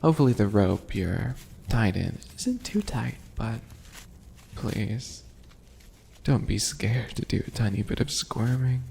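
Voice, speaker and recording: A man voicing a smug, creaky, slow-talking bunny alchemist. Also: on the low side, expressive, darkish tone, a little hiss or hum, full character voice. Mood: sweetly possessive. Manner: mocking, slow, drawn-out taunting with breathy whispers and chuckles. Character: smug, teasing, obsessive yandere bunny alchemist, male. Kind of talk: ASMR roleplay acting.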